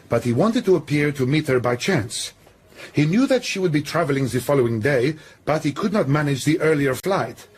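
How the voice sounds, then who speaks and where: A man's voice: medium pitch, a little mellow, slight background noise, natural voice. A man playing a nervous, evasive airline booking clerk, hesitant and polite, in a radio drama.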